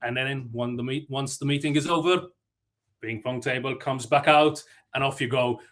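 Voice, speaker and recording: A man from Malta gives a conference talk in a chatty, self-deprecating way. He stumbles through fillers and restarts as he tells a story. His voice sits at a middling pitch. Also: fairly even intonation, moderate pace, a bit bright. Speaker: conference speaker.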